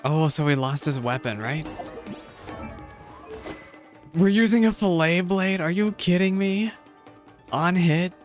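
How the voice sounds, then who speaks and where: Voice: medium pitch, slow, long pauses. Streamer reacting to a game, casual n chatty, lots of exclaiming, music going behind. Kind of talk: casual live gameplay reaction.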